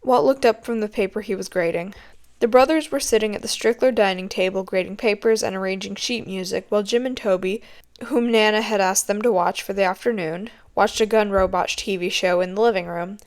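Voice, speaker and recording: A woman's voice: medium pitch, steady tone, fairly quick, darkish tone. A woman narrating a written story as an amateur audiobook reader.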